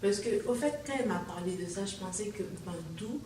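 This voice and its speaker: A woman's voice: mid-range voice, expressive, a little fast. A woman from Haiti with a Haitian French accent, hosting a conversational interview in a chatty, rambling way, often reacting with interjections. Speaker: host. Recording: distant mic in echoey room with background noise.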